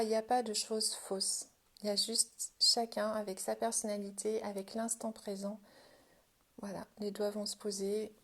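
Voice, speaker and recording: A woman leading a guided self-help practice as an instructor, speaking informally in a gentle, reassuring way, with a breathy voice that often drops toward a whisper. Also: medium pitch. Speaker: Jin Shin Jyutsu self-help instructor.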